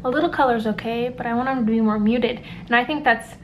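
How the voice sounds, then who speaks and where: A woman's voice: on the high side, fast, a little hiss or hum. A young woman from the United States chatting casually to camera in a vlog, upbeat and rambling with plenty of "likes.". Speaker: vlogger. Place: at home and out and about. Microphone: handheld compact camera.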